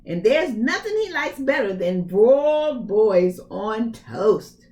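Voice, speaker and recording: Woman playing a gruff, big storybook ogre's wife. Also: a little high, big pitch swings, slow, a little mellow, echoey room. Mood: menacing. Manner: folksy, emphatic warning.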